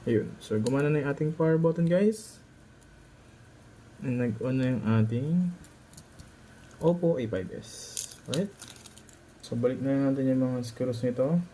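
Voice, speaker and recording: A man's voice: medium pitch, a little slow, pauses often, mellow, slight background noise. A man with a Filipino accent narrating a hands-on repair tutorial as a technician, informal and instructive, often checking in with short tag questions.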